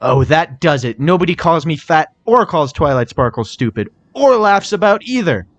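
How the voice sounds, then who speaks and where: A man voicing a brash, quick-tempered female unicorn, firm and indignant, in a storybook reading. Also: on the high side, very animated, pitched above the performer's own voice. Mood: furious.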